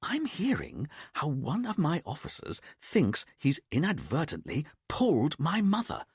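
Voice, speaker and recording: A man's voice: fairly low pitch, wildly swooping pitch, moderate pace, dry recording, clean studio sound. A man voicing an uptight, fussy police detective who speaks in a clipped, exasperated way.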